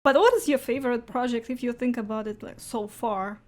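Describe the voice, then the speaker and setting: A woman's voice: fairly high pitch, a little fast, darkish tone, soft background music. A woman interviewer in a casual chat, phrasing her questions tentatively and often acknowledging what she hears.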